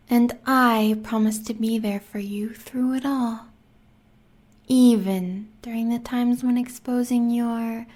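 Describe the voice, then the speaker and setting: A woman acts out a sadistic American werewolf huntress for an audio roleplay. Her voice sits fairly high for a woman and moves slowly, slipping into breathy whispers. She talks sweetly and menacingly, a devoted lover who enjoys cruelty. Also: a little mellow.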